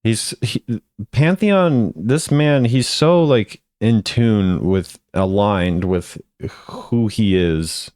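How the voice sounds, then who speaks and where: A man with a smooth, fairly low voice gives casual commentary as a gaming video host. He thinks aloud in a laid-back, unscripted way. The sound is close and dry. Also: very slow, darkish tone, dry recording. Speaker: video host ranking game voice lines.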